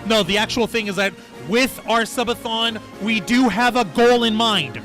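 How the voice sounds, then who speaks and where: High, bright-voiced male stream host. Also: moderate pace. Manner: irreverent, profane. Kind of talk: casual joking panel chat. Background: music.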